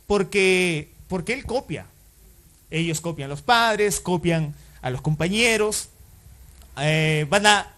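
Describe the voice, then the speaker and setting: A male pastor with a notably high voice delivers a sermon. He talks in a loose, conversational way, full of fillers and self-corrections, and keeps putting rhetorical questions to the congregation. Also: fairly bright tone.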